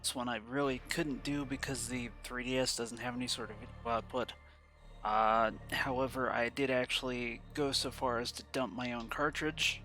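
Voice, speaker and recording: A man's voice: mid-range voice, fairly even intonation, moderate pace, bright, forceful tone, soft background music. A congested man hosting a let's play, talking in a loose, rambling way full of fillers. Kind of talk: casual game commentary.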